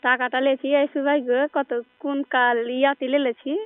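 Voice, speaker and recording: A woman's voice: sits high, flat delivery, normal speed, no room sound. A woman chatting casually and flirtatiously on a phone call in a rural Bengali dialect. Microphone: telephone. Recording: muffled narrow-band phone call.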